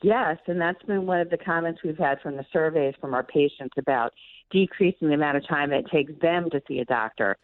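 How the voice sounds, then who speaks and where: A woman's voice: low voice, fairly quick, no room sound. Woman doctor on a tinny phone line. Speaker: physician, medical director, interview guest. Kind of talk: explanatory interview answers.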